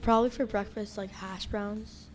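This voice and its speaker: A woman's voice: medium pitch, fairly even intonation, normal speed, over music. Hesitant girl camper. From New Jersey. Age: child. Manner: hesitant, lots of "like" and "um". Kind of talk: casual chat.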